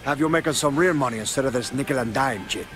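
An actor playing a brash, hot-tempered Cuban-American crime boss with a Cuban accent, blunt, profane and domineering. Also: male voice, mid-range voice, fast, fairly bright tone, soft background music, natural voice. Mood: swaggering, pushy. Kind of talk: video game character acting.

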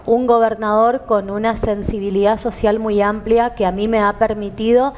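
A woman, a minister speaking in fluent, measured political phrases in a TV studio interview. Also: a little high, flat delivery, in a large hall, dull audio, a little hiss or hum. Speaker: government minister and candidate. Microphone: headset mic.

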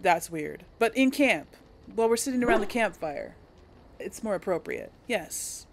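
A woman's voice: medium pitch, big pitch swings, moderate pace, creaky, a little hiss or hum. A woman hosting a casual role-play let's play, chatting to her audience as she plays. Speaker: host of a role-play game series.

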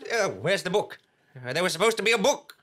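A man playing an impatient, grandiose feudal villain in a live comedy radio play, haltingly pompous and flustered. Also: high voice, animated, heavy background noise. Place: small fringe theatre stage, indoors. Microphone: stand microphone.

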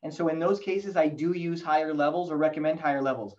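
A man's voice: fairly high pitch, quick pace, dull audio. A man in his forties giving a fluent technical explanation as a guest expert on a video call. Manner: fluent, lecturing, animated gestures. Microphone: video call webcam.

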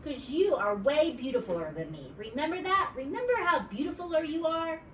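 A woman's voice: animated, fairly quick, fairly bright tone. Storybook kid: bold chatty little girl, wheedling and bouncy, as a woman reader plays her, mic set back in a roomy space. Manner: high, wheedling, bouncy child voice. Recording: roomy, distant mic, faint noise.

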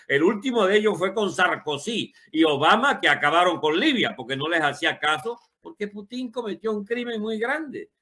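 Animated older man, high forceful voice. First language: Spanish. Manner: emphatic, rhetorical questions, colourful wording. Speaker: political commentator. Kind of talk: opinionated political monologue, unscripted.

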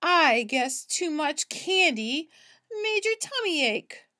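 A woman voicing an excitable, easily spooked young male cat from a storybook, exclaiming dramatically. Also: high voice, takes it slowly, exaggerated voice. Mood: relieved. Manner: exclaiming, dramatic, wide-eyed.

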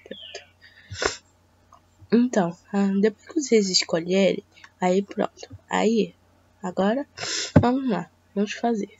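A teenage girl from Brazil with a Brazilian Portuguese accent, hoarse from a cold, chatting hesitantly through a drawing tutorial at home. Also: medium pitch, slow pace, pauses often, a little mellow. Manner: chatty, hesitant, talks to viewers as "gente". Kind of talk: casual screen-recorded tutorial. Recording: dull lo-fi mic with faint hiss.

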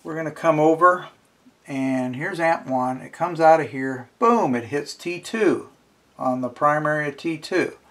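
A man's voice: fairly high pitch, animated, measured pace. A middle-aged man giving a casual hands-on tutorial, informally thinking aloud as he talks himself through each step. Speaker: instructor. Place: indoors at a wooden workbench.

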